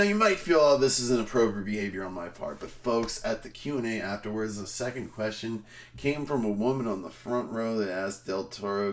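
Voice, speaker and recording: Animated man reading theatrically, off the mic. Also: on the low side, recorded from a distance. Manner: theatrical, swinging between emphatic outbursts and hushed, drawn-out delivery. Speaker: streamer giving a dramatic reading. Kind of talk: dramatic reading of a written review.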